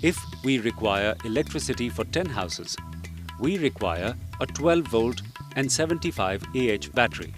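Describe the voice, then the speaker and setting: A man reading scripted instructional narration in a measured, explanatory way. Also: mid-range voice, animated. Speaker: training film narrator. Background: background music.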